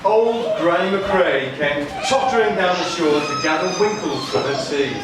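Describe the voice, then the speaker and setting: High-voiced male storyteller in an echoey hall. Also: expressive, a little slow. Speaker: puppet show narrator. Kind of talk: comic scripted storytelling to a live audience. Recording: echoey noisy hall, recorded from far off.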